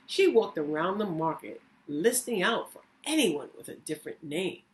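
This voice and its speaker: A woman narrating a children's story as a reading teacher, deliberate and theatrical in her phrasing. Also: lowish voice, low-quality recording. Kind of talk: expressive children's read-aloud narration.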